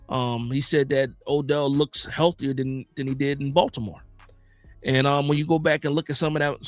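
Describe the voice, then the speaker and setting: An American man hosting a casual football livestream, reading out viewer comments and reacting in slangy, animated, informal talk. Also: fairly high pitch, no room sound. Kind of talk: casual fan chat about football.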